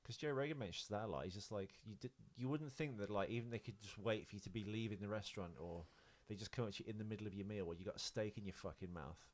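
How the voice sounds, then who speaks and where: A man's voice: on the low side, fast talker, hardly any pauses. A man co-hosting a podcast, chatting casually about films and celebrities in a rambling, sweary way. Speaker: podcast co-host.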